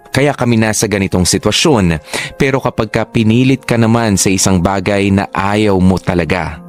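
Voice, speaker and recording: A man's voice: on the low side, a little mellow. A man giving scripted, sentimental dramatic narration as the letter reader in a Filipino radio drama.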